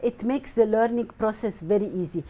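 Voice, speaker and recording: A woman's voice: mid-range voice, a little fast, dark tone. A woman speaking English as a guest coach in a TV interview, explaining earnestly and repeating her points. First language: Arabic. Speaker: guest, mind mapping coach. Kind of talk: TV studio interview.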